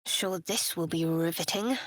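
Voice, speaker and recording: A young dark cleric in a video game, guarded and wry, clipped sarcasm, super dry clean studio sound. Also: female voice, on the low side, a little slow, no room sound. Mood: wry, sardonic.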